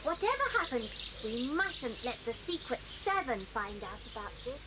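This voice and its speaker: A bossy little schoolgirl, boastful and sly. The voice is high and very animated, commanding in a children's radio-play way, on a clearly noisy old recording. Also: moderate pace. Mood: hushed, solemn. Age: child figure. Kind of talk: children's audio drama acting.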